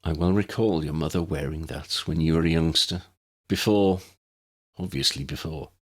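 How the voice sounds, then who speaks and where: A man voicing a gentle, courteous older wizard in an audiobook, soft-spoken and old-fashioned in his manner. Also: extremely low pitch, moderate pace, husky. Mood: poignant. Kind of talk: audiobook character voice.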